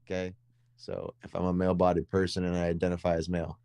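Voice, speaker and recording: Deep-voiced young man rambling in chat. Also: monotone, fast talker, creaky, a little mellow, clean tone, no echo. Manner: rambling, repeats words and restarts often. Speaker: podcast co-host. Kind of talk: casual conversation.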